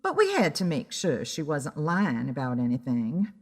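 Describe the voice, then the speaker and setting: A woman's voice: deep voice, wildly swooping pitch, moderate pace, breathy edge. A woman narrating a first-person story in a dramatic audiobook style. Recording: long reverb on the voice.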